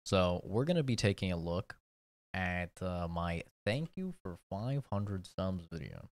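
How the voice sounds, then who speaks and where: A man's voice: sits low, sing-song, a little slow, dry recording. A young man in his twenties chatting casually and poking fun at himself while reacting to an old video of his own. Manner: laid-back, chatty, self-mocking.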